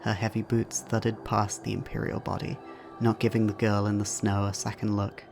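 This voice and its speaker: A man's voice: on the low side, monotone, moderate pace, gravelly, darkish tone, soft background music. A man reading scripted narration for an audio drama as its narrator.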